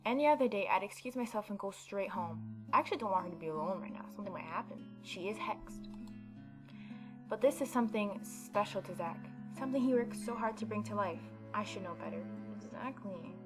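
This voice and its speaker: A woman's voice: mid-range voice, animated, brisk. A woman hosting a gaming video, reading a visual novel aloud and adding informal, opinionated commentary. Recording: background game music behind voice.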